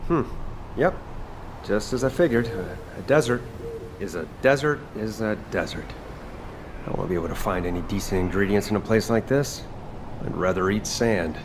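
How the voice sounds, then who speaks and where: A man's voice: fairly low pitch, moderate pace, background noise, natural voice. A man voicing a laid-back, sardonic young chef in a video game, speaking casually and expressively. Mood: wry.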